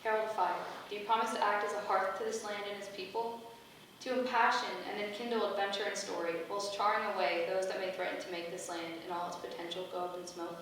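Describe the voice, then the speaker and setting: A woman's voice: mid-range voice, level tone, quick pace, a bit bright, lightly acted. Echoey, distant stately goddess played by a woman. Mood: solemn. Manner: formal, stately, ceremonial oratory. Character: benevolent motherly female goddess, grand and solemn, gathering her heralds. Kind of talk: staged play reading. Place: echoey indoor venue at a staged reading. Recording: very echoey room from far off, faint noise.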